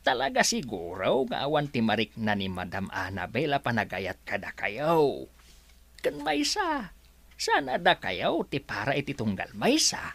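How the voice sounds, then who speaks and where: A woman playing a loyal assistant in an Ilocano radio drama who consoles her boss. She speaks very slowly in a low voice that swoops wildly in pitch, recorded close and dry. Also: wildly swooping pitch, creaky, fairly bright tone, exaggerated voice. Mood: consoling.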